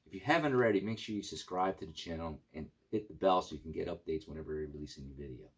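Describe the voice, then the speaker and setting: A middle-aged man hosting a casual video channel, talking informally and haltingly through an overview of gear. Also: deep voice, slightly rough. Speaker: YouTube channel host.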